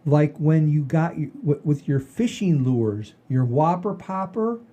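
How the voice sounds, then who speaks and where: A man's voice: on the high side, animated, measured pace, warm tone. A man hosting a comedy podcast, chatting casually and telling anecdotes in a rambling, repetitive, folksy way. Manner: rambling, repeats himself, folksy "I says".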